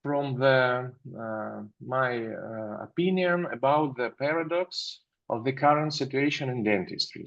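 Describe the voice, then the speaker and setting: Slow, gravelly Russian-accented man. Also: mid-range voice. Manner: hesitant, many fillers and restarts. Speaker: dental lecturer presenting a webinar. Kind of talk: halting webinar presentation. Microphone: video call. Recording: slightly dull video call audio.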